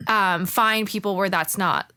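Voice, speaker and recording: A young woman from Florida speaks as a guest on an interview podcast. She is relaxed and chatty and laughs easily, and her pitch is mid-range for a woman. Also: unhurried, clean tone. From Florida, USA. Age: young adult. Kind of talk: casual interview chat.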